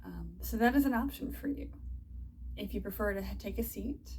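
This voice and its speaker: A woman teaching a guided body scan meditation, giving soft, soothing, unhurried instructions with long pauses. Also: mid-range voice, vocal fry, a little hiss or hum, poor audio.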